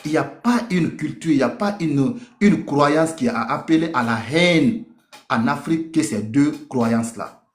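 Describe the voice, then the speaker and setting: A man's voice: on the high side, expressive, a little slow. A man from Africa speaking with emphasis in a group debate, giving impassioned personal testimony and repeating phrases for weight. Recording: echoey room.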